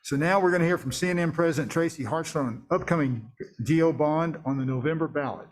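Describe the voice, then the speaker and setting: A man with a husky voice informally chairing a council meeting and addressing speakers. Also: on the high side, quick pace.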